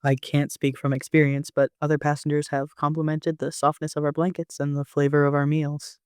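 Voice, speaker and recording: A man narrating a first-person story like an amateur audiobook reader, with an even storytelling delivery. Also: fairly high pitch, a little fast, smooth, no room sound, studio quality.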